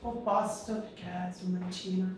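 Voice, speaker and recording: A woman's voice: lowish voice, steady tone, moderate pace. A woman in her late twenties casually answering questions as a patient in a consultation. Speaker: patient and model. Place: small treatment room indoors. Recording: very echoey small room, distant mic, faint noise.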